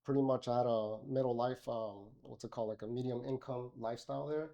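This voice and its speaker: A man's voice: mid-range voice, fairly even intonation, fast talker, gravelly, mellow. A middle-aged man from Miami, a podcast guest, talking casually with many fillers and restarts. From Miami, Florida. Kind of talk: casual interview conversation.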